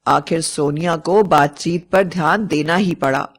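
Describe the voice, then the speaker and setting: A woman's voice: low-pitched, unhurried, husky. A woman narrating a scripted story as a storyteller. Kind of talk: scripted fairy-tale narration.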